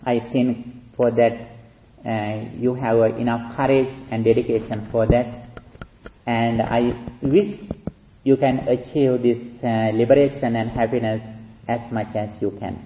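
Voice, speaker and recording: A man, a Buddhist monk from Sri Lanka with a Sri Lankan accent, giving a slow, halting dharma talk with pauses for an interpreter. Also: medium pitch, flat delivery. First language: Sinhala. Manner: slow, halting speech with many fillers. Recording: dull lo-fi recording.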